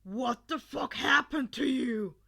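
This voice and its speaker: A woman voicing a crude, aggressive teenage boy bully, loud and sneering, in a fan fiction audiobook. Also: sits high, takes it slowly, creaky, breathy edge, exaggerated voice. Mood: stunned anger. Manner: loud, sneering, swearing demands.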